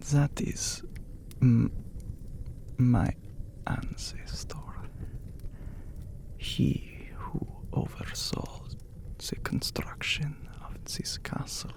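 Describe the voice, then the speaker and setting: A man playing an ancient, courteous, brooding vampire count in an ASMR roleplay, speaking in a breathy, gravelly hush with formal phrasing and long pauses. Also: medium pitch. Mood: somber, haunted. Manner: slow, formal, hushed, with long dramatic pauses. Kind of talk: ASMR romantic roleplay, scripted character acting.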